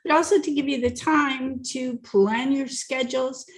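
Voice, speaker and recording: A woman's voice: high-pitched, steady tone, normal speed. A woman hosting a talk show in a casual, chatty way, sometimes stumbling over her words. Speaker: host. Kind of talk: casual radio chat.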